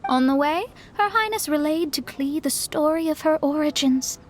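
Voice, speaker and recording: An actress playing a proud young astrologer in an anime game, with an exasperated, no-nonsense manner. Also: female voice, sits high, moderate pace, close to an everyday voice. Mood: reluctant narrating. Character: proud, exasperated young astrologer girl, embarrassed straight-talker.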